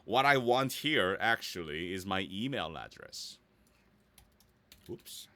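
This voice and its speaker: Bright, low-voiced man doing a casual tutorial. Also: animated, slow pace, no room sound. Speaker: voice actor giving a website-building tutorial. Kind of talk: casual screen-share tutorial, thinking aloud.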